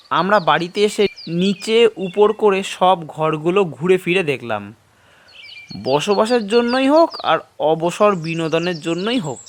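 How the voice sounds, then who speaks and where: A man's voice: a little high, slight background noise, full character voice. An actor playing a curious, eager man, narrating his own adventure expressively in a horror audio story. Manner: expressive, unhurried. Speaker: first-person narrator.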